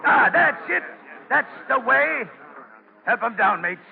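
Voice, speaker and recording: An actor playing a scheming, greedy English sea captain with a gravelly voice, in 1940s radio style. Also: male voice, very high voice, animated, slow pace, heavily acted. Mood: coaxing. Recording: tinny, hissy 1940s radio recording.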